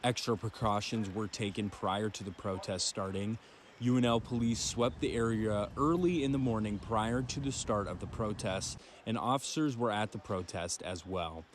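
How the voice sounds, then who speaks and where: A young man narrating a news report as a student reporter, in a steady reporting cadence. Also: lowish voice, moderate pace, a little hiss or hum. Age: young adult.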